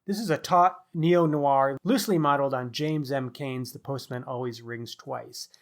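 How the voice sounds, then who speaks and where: A man's voice: medium pitch, normal speed. A man co-hosting a podcast, talking through a book review. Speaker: podcast co-host.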